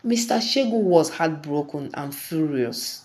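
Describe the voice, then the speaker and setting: A woman narrating a scripted folktale in a deliberate, dramatic storytelling manner. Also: low-pitched, expressive, darkish tone.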